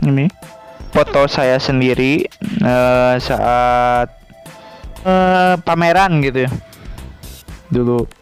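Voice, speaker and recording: Man, relaxed unhurried pace, halting informal tutorial narration, faint music behind. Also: mid-range voice. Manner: halting, informal, with frequent pauses and tag words. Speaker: video creator and tutorial presenter. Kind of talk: casual screen-recorded tutorial narration.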